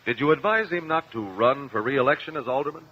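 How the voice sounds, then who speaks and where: An actor playing a composed attorney in a radio courtroom drama, questioning witnesses in a measured, persistent way. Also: male voice, mid-range voice, lively intonation, slightly rough. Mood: insistent. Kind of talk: old-time radio courtroom drama acting. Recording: dull, noisy old radio recording.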